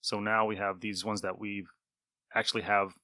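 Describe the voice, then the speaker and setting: A man's voice: on the low side, flat delivery, normal speed, pushed and bright, no room sound. A man hosting a podcast in a casual, conversational style. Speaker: podcast host. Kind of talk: casual chat.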